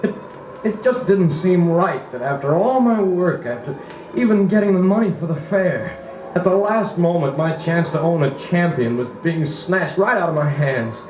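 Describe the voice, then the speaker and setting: A man's voice: a little high, lively intonation, moderate pace, darkish tone, highs cut off, a little hiss or hum, natural voice. An actor playing an earnest country man who narrates his boyhood dramatically in a 1940s radio drama. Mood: sorrowful. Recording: roomy 1940s record. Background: music.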